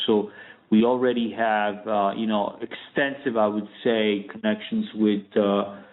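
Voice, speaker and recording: A male company executive answering conversationally on an earnings call, often checking in with little tag words. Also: fairly low pitch. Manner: conversational, frequent "you know" and "right" tags. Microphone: conference call line. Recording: narrow, tinny phone line.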